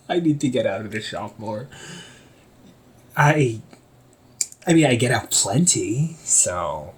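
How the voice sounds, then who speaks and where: A man's voice: mid-range voice, big pitch swings, slow, pauses often. A young man playing an easygoing shop owner talking to his sibling in a one-sided audio roleplay, teasing and laughing often. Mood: wistful.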